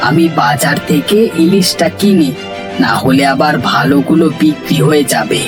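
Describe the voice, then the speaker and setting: A man's voice: fairly high pitch, expressive, moderate pace, over music, natural voice. A man voicing a cheerful, food-loving young man in cartoon dialogue. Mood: cheerful, friendly.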